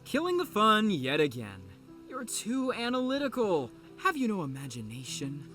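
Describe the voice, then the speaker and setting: Man playing a bright, high-voiced playful tease. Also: wildly swooping pitch, moderate pace, heavily acted. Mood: teasing. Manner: teasing, flippant. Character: playful, teasing, carefree young male student. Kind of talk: audio drama acting. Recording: music under the voice.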